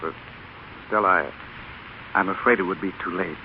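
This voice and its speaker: Gentle, well-bred father, deep voice, scratchy vintage radio drama. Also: normal speed. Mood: regretful. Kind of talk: 1930s radio drama acting. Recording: scratchy, tinny old radio broadcast.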